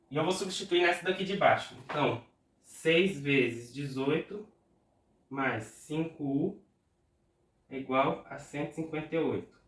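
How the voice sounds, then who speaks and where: A man's voice: a little high, a bit bright, echoey room, far from the mic. A man with a Brazilian Portuguese accent, nasal and stuffed up from a cold, patiently working an exam math problem step by step aloud. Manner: patient, talks through arithmetic aloud slowly. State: congested from a cold. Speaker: math tutor.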